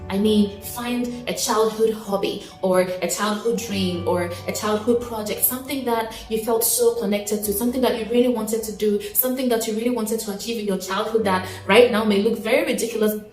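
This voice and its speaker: A woman's voice: medium pitch, fairly even intonation, a little fast, few pauses, roomy sound, faint music behind. A woman in her late twenties, sharing personal reflections in an earnest, heartfelt way, often restarting her sentences. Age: late 20s. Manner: earnest and heartfelt, with soft laughs and many restarts. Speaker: vlogger. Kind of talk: personal reflective talk to camera.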